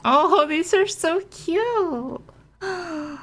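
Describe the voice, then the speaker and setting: A woman's voice: very high-pitched, lively intonation, extremely slow, fairly bright tone. A woman giving casual, playful commentary as she plays through a video game. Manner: playful, jokey. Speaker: game streamer playing through a story quest.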